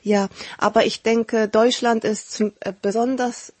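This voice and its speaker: A young woman singer speaks with a slightly husky voice in a dry, close recording. She answers in a halting, thoughtful way, pausing on fillers and correcting herself as she goes. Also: a little high, fairly even intonation, a little mellow, dry recording. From South Korea. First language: Japanese. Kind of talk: interview answers.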